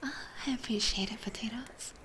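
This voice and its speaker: A woman streamer whispering softly and gently in a casual ASMR chat with viewers, close on a binaural microphone. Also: fairly high pitch, fairly quick. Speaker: streamer hosting a live ASMR roleplay stream.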